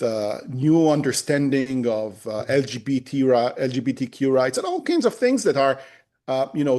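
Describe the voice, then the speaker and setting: A man in his fifties from Israel, a native Hebrew speaker, talking as a guest in an interview, pausing often with fillers and restarts. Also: mid-range voice, darkish tone. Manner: hesitant, many fillers and restarts. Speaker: human rights lawyer, guest. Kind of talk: conversational interview.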